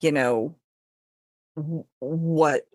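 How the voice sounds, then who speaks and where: A woman with a low-pitched voice speaks as a podcast guest. She chats in a casual, reflective way, and the recording is dry with almost no room sound. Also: fairly even intonation, very slow, a little mellow, no room sound.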